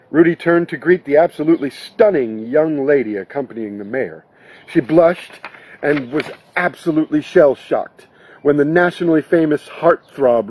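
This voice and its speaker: A man reading scripted narration from a novel in a husky voice, in the manner of an audiobook actor. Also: on the high side, darkish tone, no echo, low-quality recording.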